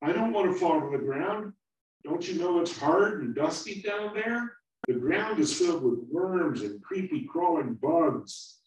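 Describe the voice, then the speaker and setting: Man doing a small, fretful apple kid, pitched up and excitable, eager to interrupt. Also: a little slow, roomy sound, distant mic, rough recording. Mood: worried. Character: anxious vain little apple, young.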